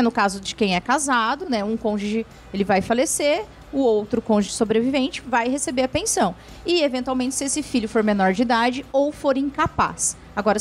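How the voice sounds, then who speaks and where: A woman's voice: on the high side, slight background noise. A woman lawyer answering viewer questions on a TV show, explaining patiently with frequent tag questions and restarts. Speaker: lawyer, guest expert.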